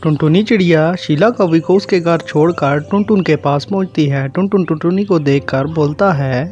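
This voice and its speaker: A man's voice: a little high, moderate pace, darkish tone. A man narrating a scripted cartoon moral story as the storyteller, in a lo-fi recording. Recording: dull lo-fi sound with music. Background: music.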